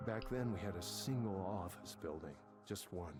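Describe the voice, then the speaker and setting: A man's voice: low voice, animated, moderate pace. A man playing an angry, middle-aged veteran tech manager in a visual novel game, combative, sarcastic and foul-mouthed. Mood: indignant. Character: angry veteran male tech manager, arrogant, bitter, ranting. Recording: game audio with music behind. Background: music.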